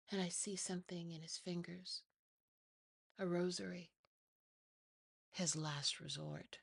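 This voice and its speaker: Female ASMR horror narrator: lowish, creaky, unhurried, first-person storytelling. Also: dry recording, studio quality. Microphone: close, dry mic.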